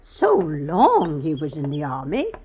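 A nosy neighbour woman, sly and sing-song. She speaks slowly in mock-archaic phrases with lively swings of pitch. The vintage recording is dull. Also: full character voice. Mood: sly, envious. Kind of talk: 1950s radio drama acting. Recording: dull, noisy old radio recording.

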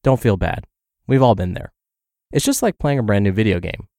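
A man's voice: fairly low pitch, lively intonation, moderate pace, warm tone, no room sound, studio quality. A man hosting a podcast, reading health and fitness blog posts aloud while congested and scratchy from the flu. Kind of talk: read-aloud narration.

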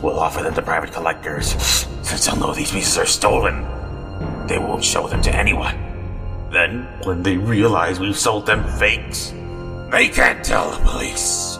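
An actor playing a gleeful, scheming crime boss in a melodramatic superhero audio drama. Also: male voice, low-pitched, a little slow, over music. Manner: melodramatic, prone to cackling and exclaiming. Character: cackling, scheming male villain crime boss, theatrical and gleeful.

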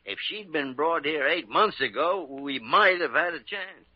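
An actor playing a crusty but humane senior doctor in an old radio drama, with a gruff, grumbling delivery. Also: male voice, on the high side, animated, unhurried, no echo. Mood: grave, regretful. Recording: dull vintage radio recording.

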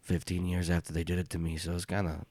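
A man voicing an ancient male spider-bodied outcast creature who speaks slowly in a hushed, halting way, often near a whisper. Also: deep voice, completely flat delivery, no echo. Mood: solemn. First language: not English. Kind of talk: in-character tabletop roleplay.

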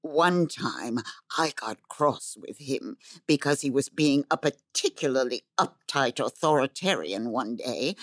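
A woman's voice: extremely low pitch, animated, measured pace, few pauses, no room sound, clean studio sound, exaggerated voice. A woman playing a chatty, theatrical fantasy storyteller who is easily sidetracked, in a comedic audio drama. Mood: amused gossip. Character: chatty, scatterbrained female fantasy storyteller and academy alumna.

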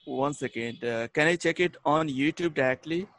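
A man's voice: on the high side, normal speed, fairly bright tone. A man whose first language is Hindi, joining a video call and speaking English eagerly but hesitantly, with frequent fillers. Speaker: caller joining the meeting. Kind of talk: casual video-call chat.

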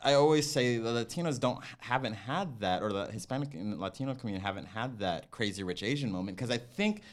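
A man talking as host in a casual discussion, lively and repeating words as he searches for them. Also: on the low side, quick pace. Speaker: podcast host, actor.

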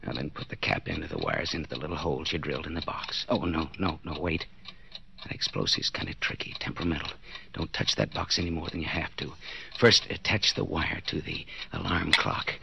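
Deep, gravelly brooding murderous husband. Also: male voice, highs cut off, heavily acted. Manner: muttering, brooding self-talk in second person. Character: betrayed husband coldly plotting to murder his wife. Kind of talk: 1940s radio thriller acting. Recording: noisy vintage 1940s radio broadcast.

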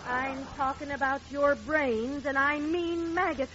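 A woman's voice: sits high, fairly even intonation, a little slow, heavy background noise. An actress playing a feisty, scolding middle-aged American wife and mother in a 1940s radio comedy. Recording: muffled vintage radio recording.

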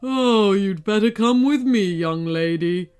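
A woman's voice: high voice, huge pitch swings, slow pace, a little mellow, voice pushed up, heavily acted. A woman voicing a weary male griffin courtier in a storybook, polite, hesitant and prone to spluttering. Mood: resigned.

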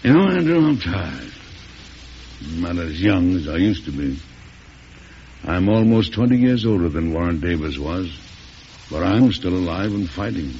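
A man's voice: sits low, lively intonation, normal speed, pauses often, mellow. An older man playing an aging, stern and wry American president in an old-time radio drama, formal and commanding. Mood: weary, reflective. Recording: hissy, muffled vintage radio recording.

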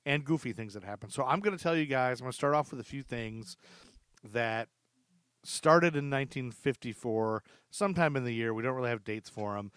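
A man hosting a podcast in casual, chatty, loosely phrased talk, quick to laugh. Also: mid-range voice, fairly bright tone, smooth, no echo. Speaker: podcast host.

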